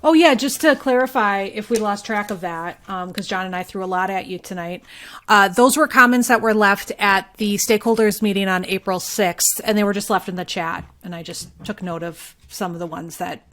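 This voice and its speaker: A woman's voice: mid-range voice, normal speed, a bit bright. A woman guest on a talk show, playful and joking in the way she speaks. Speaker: guest, local journalist. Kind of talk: casual chat with dramatic reading of public comments.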